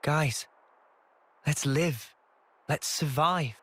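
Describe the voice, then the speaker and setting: Young male soldier, lightly husky, higher-set voice, earnest, determined, persuasive, video game drama. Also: extremely slow, a bit bright, no echo, heavily acted. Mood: resolute. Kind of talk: video game cutscene voice acting.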